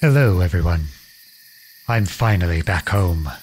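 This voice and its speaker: Casting: male actor as young outlaw prince for audio roleplay, protective and folksy, slow delivery with lively intonation. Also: low-pitched. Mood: relieved, reflective. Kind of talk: ASMR roleplay acting.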